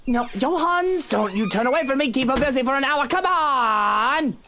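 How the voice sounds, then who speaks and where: Sardonic young gentleman, swinging pitch. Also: lively intonation, normal speed, a little hiss or hum, exaggerated voice. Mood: exasperated. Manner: sardonic, exasperated. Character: irritable, haughty, sleep-deprived young male heir.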